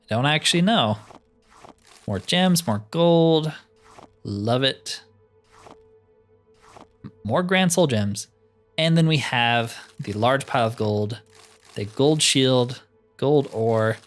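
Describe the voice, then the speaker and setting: A man giving casual gameplay commentary in a laid-back way, musing to himself as he plays. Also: medium pitch. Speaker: gaming streamer.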